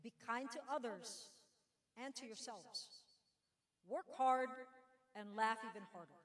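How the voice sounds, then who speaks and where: A middle-aged woman delivers a prepared, uplifting address to graduating students. Her slightly high voice echoes through a large room. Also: unhurried. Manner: earnest, uplifting. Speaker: guest speaker, Army veteran. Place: school auditorium. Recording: echoey hall.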